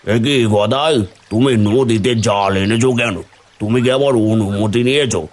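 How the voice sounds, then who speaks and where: A man's voice: mid-range voice, moderate pace, close to an everyday voice. A man voicing a stern, unyielding village moneylender in a cartoon, curt and authoritative. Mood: stern, accusing. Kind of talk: Bengali cartoon dialogue.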